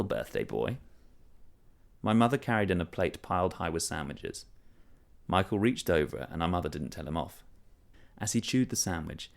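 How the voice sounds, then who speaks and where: A man reading a short story aloud, telling it in a measured, wry first-person voice. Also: low voice, vocal fry. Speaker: story reader. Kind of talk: scripted narration of a short story.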